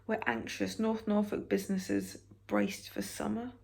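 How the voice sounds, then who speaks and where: A British woman with a raspy voice, softly reading newspaper stories for ASMR and adding wry, halting asides. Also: mid-range voice, very animated. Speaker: ASMR creator.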